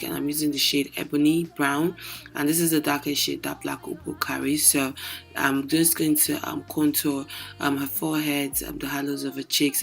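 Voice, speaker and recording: A young woman makeup artist with a hoarse, lost voice, narrating a tutorial with many hesitant ums. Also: very deep voice. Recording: music playing underneath.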